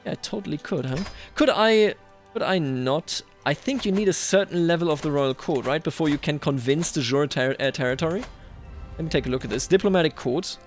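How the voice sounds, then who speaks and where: A man hosting a gaming video, thinking aloud in a musing way as he roleplays a strategy game. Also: on the high side. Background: music.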